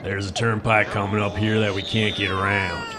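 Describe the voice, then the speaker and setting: A man's voice: fairly low pitch, little pitch movement, normal speed, noisy background, lightly acted. An actor playing a shrewd, wary smuggler in an audio drama, blunt and folksy in his talk. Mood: brusque. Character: gruff, wary, shrewd male smuggler with stubble and moustache.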